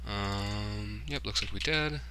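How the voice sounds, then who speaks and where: Bright-voiced man casually narrating a software tutorial. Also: lowish voice, slow pace, slight background noise. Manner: informal, muttering to himself while working. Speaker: tutorial host, hobbyist game developer. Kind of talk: casual screen-recorded software tutorial, thinking aloud.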